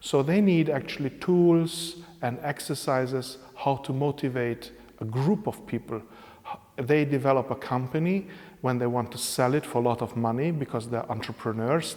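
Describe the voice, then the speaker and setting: A man from Hungary, a professor, answering interview questions in measured, explanatory English with occasional fillers. His first language is Hungarian. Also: medium pitch, darkish tone. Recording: reverberant room.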